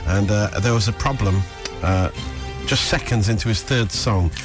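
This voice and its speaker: A man's voice: lowish voice, moderate pace, music in the background. A man reading showbiz news on a radio breakfast show in casual banter, laughing through his lines and getting flustered. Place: radio studio.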